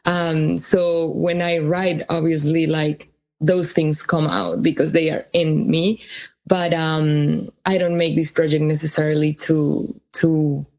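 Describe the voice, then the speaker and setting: A woman's voice: low-pitched, little pitch movement, slow pace, a little mellow. A woman from Colombia, a cellist and singer, answering interview questions in a thoughtful way with many fillers and restarts. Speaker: musician guest.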